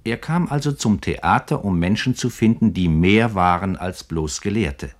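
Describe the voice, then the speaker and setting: A male radio narrator with a very low voice. He delivers scripted literary history formally and articulately, in the manner of 1950s German broadcasting. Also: animated, a little slow.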